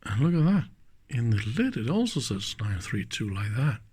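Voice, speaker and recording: A man's voice: mid-range voice, sing-song, normal speed, a little mellow, a little hiss or hum. A man doing casual, hands-on teardown commentary, thinking aloud and openly admiring the equipment. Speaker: electronics teardown host.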